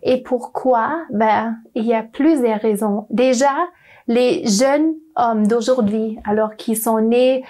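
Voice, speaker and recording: Young non-native woman doctor, slow and hesitant, warm tone, slightly high voice. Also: fairly even intonation. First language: not French. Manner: hesitant, searching for words, many fillers. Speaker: doctor at a clinic. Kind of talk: interview guest.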